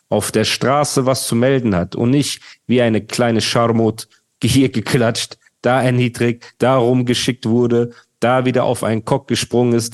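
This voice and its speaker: A man's voice: mid-range voice, darkish tone. Man mocking a rapper in a rant. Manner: mocking, ranting, slangy. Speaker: podcast host. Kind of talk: casual chat.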